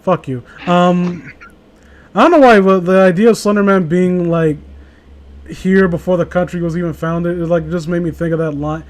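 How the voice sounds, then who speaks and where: A man's voice: high voice, steady tone, moderate pace. A man reading a story aloud casually with a friend, joking, swearing and breaking off for sarcastic asides. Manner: sarcastic, profane, interrupts own reading with asides.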